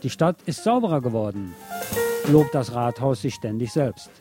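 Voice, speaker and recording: A man hosting a late-night radio show, delivering a literary scripted monologue in a deliberate, poetic storytelling way. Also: mid-range voice, animated. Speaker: radio host. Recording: music playing under the voice. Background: music.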